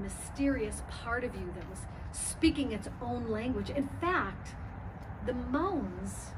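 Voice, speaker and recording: A woman performing a comic stage monologue as a brazen, confiding character, chatty and teasing with pauses for effect. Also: sits high. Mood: hushed, revelatory. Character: brazen, confiding former tax lawyer who loves moaning. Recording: distant mic, noisy live venue.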